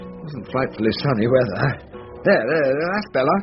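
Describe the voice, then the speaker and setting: An actor playing a pompous, bumbling middle-aged barrister with an upper-class English accent and a hesitant, stammering manner. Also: male voice, mid-range voice, very animated, moderate pace, close to an everyday voice. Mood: sheepish, chatty. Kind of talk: comic drama acting. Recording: dull recording, music behind.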